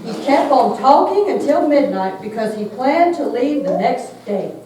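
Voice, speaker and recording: Children's puppet role: eager young girl played by a woman, high pitch, distant noisy recording. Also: very animated, measured pace, dark tone. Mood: reading aloud. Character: keen young girl puppet who knows Bible stories. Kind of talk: church puppet show for children. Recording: echoey, noisy room, distant mic.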